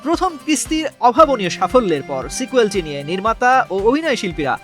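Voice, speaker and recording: A man's voice: very high voice, sing-song, quick pace, a bit bright, soft background music. A man with a Bangladeshi accent reading scripted entertainment news as a narrator. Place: voice-over over background music.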